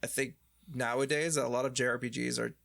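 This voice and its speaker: A man with a Chicago accent co-hosting a podcast in casual chat, halting and restarting often. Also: mid-range voice, steady tone, creaky, a bit bright, dry recording, clean studio sound. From Chicago area. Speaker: podcast co-host.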